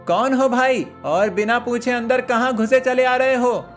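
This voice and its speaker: A man's voice: very high-pitched, measured pace, fairly bright tone, faint music behind. A man voicing an earnest young man of the palace in a cartoon story, emphatic and questioning. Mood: suspicious. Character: suspicious palace guard or young prince, earnest.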